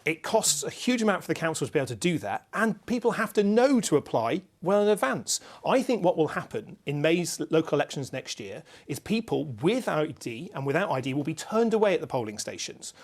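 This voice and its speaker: Male panel guest, fairly high voice, insistent campaigning delivery. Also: sing-song, fairly quick, hardly any pauses. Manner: insistent, campaigning, rhetorical lists. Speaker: political guest. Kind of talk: studio debate.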